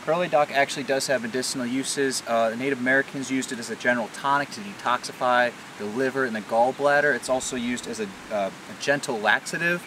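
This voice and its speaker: A man's voice: mid-range voice, fast talker, hardly any pauses, sharp tone, rough recording. A man in his thirties talking informally and plainly, explaining things like a farmer hosting a casual vlog. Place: outdoors on a farm. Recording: clear background noise, hiss.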